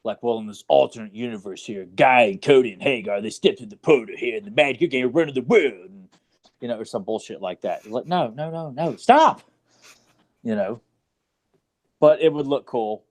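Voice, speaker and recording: A man's voice: medium pitch, expressive, moderate pace. A man in casual conversation as a podcast co-host, with a crude, sarcastic way of talking. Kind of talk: casual chat.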